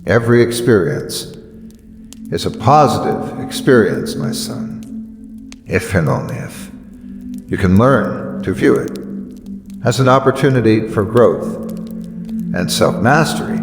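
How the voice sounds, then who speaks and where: A man reading motivational sayings in a solemn, deliberate and emphatic way, with weighty pauses, like a dramatic speech. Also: fairly low pitch, lively intonation. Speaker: narrator of motivational quotes. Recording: echoey long reverb over music. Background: background music.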